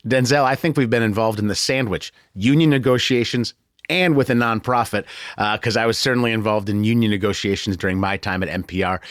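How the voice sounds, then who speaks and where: A man's voice: fairly low pitch, fairly quick, dry recording, studio quality. An American man hosting a conversational news podcast in a colloquial, joking way. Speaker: podcast host.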